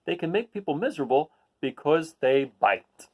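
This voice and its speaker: Guy reading a kids picture book out loud, kinda higher voice, super up and down, chatty explaining as he goes. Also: lively intonation, moderate pace. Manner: explanatory, addressing young listeners. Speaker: read-aloud host. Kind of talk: reading a children's picture book aloud with casual asides.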